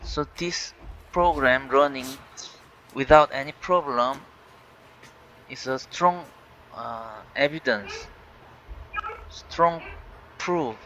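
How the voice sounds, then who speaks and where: A Korean-native man speaking English in a halting way, with many fillers and restarts, walking viewers through a tech issue. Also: medium pitch, sharp tone. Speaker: tech channel host. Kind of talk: casual screen-recorded walkthrough. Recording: dull lo-fi voice with faint hiss.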